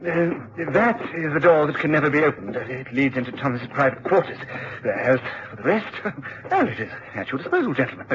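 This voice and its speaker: An actor playing an anxious aristocrat in a radio drama, hesitant, courteous and fussy. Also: male voice, mid-range voice, very animated, a little fast, close to an everyday voice. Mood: nervously courteous. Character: anxious, fretful aristocratic lord, morbidly fearful host. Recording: dull, noisy radio recording.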